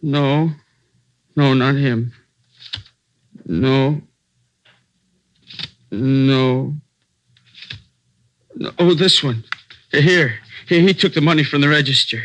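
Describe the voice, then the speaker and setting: Slow, shaken shopkeeper. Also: male voice, medium pitch, fairly bright tone, slight background noise, low-quality recording. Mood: anxious, searching. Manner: halting, hesitant, fragmented speech. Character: shaken, injured male liquor store owner, robbery victim. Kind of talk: 1940s American radio crime drama.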